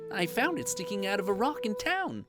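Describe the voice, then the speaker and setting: A modest, bewildered teenage boy from a storybook, as a narrator acts him out. He speaks in a raised, lilting pitch, hesitant and questioning, over soft music. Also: huge pitch swings, fairly quick. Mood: puzzled.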